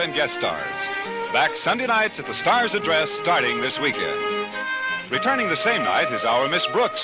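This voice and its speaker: A man's voice: fairly high pitch, low-quality recording. An American man reading scripted announcements in a 1950s radio style. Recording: vintage radio broadcast. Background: music.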